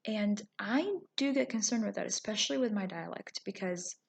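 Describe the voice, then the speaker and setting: Young woman vlogging, first language arabic, lots of ums, gravelly fry in her voice. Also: mid-range voice, moderate pace. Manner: chatty, many ums and "you know"s. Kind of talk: casual talking-to-camera vlog.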